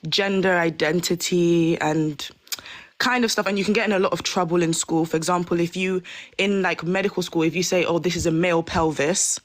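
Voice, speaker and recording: A woman's voice: on the low side, steady tone, a little fast, no echo. A woman in her twenties from the UK, a guest on a live panel chat, talking hesitantly and apologetically with many fillers. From United Kingdom. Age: 20s.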